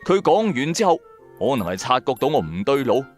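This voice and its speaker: A man narrating a radio drama in the first person, colloquial, wry and expressive. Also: mid-range voice, fairly quick, faint music behind. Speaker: storyteller, narrator.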